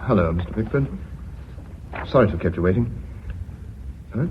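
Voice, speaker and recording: A man acting a deferential British bookkeeper in a radio play. He is low-pitched, formal and methodical, on a muffled old recording with clear background noise. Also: expressive, normal speed, natural voice. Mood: polite, measured. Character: measured, deferential company secretary and bookkeeper revealing his scheme.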